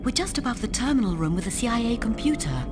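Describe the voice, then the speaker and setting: An actress playing a cool, helpful secret agent in a video game, giving composed, scripted instructions to a partner. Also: female voice, on the high side, big pitch swings, quick pace, over music. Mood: focused, briefing. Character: cool, helpful female spy agent briefing her partner.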